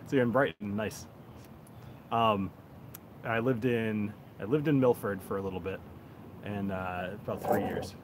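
A man's voice: lowish voice, a little slow, long pauses. A man in his thirties from the United States, rambling casually as he hosts a livestream on his phone outdoors. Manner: laid-back, rambling, chuckles often. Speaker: whiskey reviewer, livestream host. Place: outdoors on a backyard deck. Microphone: cell phone on a tripod. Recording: noisy outdoor phone recording.

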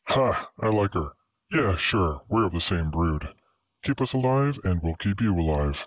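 Man doing a low, gruff alien spaceship pilot. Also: lively intonation, a little slow, dry recording, highs cut off. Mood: amused, gruff. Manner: blunt, curt, grumbling. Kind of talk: sci-fi audiobook character.

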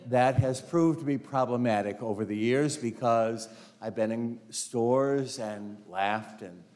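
A man's voice: mid-range voice, takes it slowly, a little mellow. An older man, a priest, giving a conversational homily in a large church, full of anecdotes, often saying "you know" and laughing. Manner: chatty storytelling, frequent "you know", laughs easily. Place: large carpeted church sanctuary. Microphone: lapel mic, walking in front of altar.

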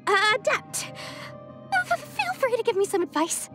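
An eager young anime game warrior, very high and swooping in pitch. She is earnest and easily flustered, over clear music. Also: sing-song, normal speed. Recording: music behind the voice.

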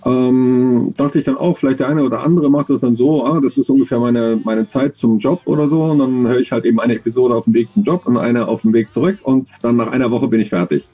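A man in his forties, an audio drama author, talking thoughtfully in an interview over a video call, with many pauses and fillers. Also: medium pitch, steady tone, husky, muffled recording, soft background music. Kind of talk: relaxed conversational interview.

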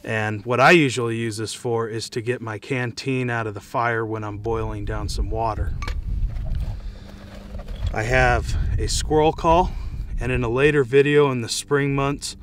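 A man's voice: mid-range voice, steady tone, unhurried, slight background noise. An American man casually demonstrating and reviewing gear to camera, speaking outdoors. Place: outdoors in dry scrubland.

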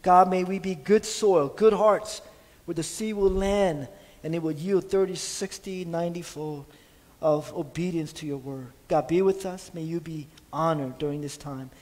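A middle-aged man with a slightly raised voice leads a church prayer, earnest and halting, often pausing on fillers. His voice rings with a long reverb off the sanctuary walls. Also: a little high, darkish tone. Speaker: pastor. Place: church sanctuary stage at a pulpit.

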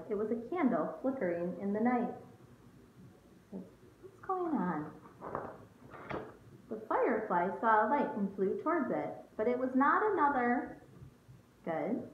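Lively woman reading to children, tinny roomy recording. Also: mid-range voice. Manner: expressive, questioning, speaking to children. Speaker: teacher reading aloud to young children. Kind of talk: picture-book read-aloud with asides to children. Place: small room at home. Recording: muffled phone-like audio, roomy, faint noise.

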